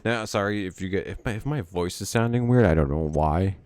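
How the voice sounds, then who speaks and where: A man's voice: deep voice, lively intonation, a little fast, darkish tone. A man casually thinking aloud as he walks through trading charts, rambling and correcting himself as he goes. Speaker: trading educator.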